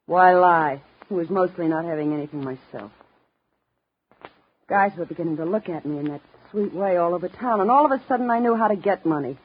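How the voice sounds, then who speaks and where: Dull 1950s radio sound, wounded young showgirl, husky, tough, bitter, melodramatic. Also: on the low side, lively intonation, fairly quick. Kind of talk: 1950s radio drama acting. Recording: muffled old 1950s radio recording.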